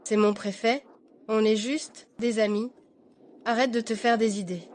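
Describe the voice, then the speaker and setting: An actress playing a polite young wife in a dubbed melodrama, mildly exasperated and eager to placate. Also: female voice, fairly high pitch, steady tone, a little slow, natural voice. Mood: exasperated, appeasing.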